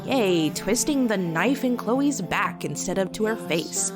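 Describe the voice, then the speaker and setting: A woman's voice: medium pitch, animated, a little slow, natural voice. A woman playing a sardonic, fiercely loyal teenage girl reading her journal in an emotive comic dub. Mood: bitter. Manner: wry, sarcastic, emotive. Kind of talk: dramatic first-person journal narration in comic dub. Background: soft guitar music.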